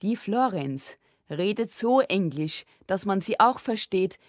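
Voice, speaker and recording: A woman's voice: medium pitch, animated, slow, no echo, clean studio sound, voice pushed up. A woman voicing an earnest, easily offended little girl in a storybook, plaintive and insistent.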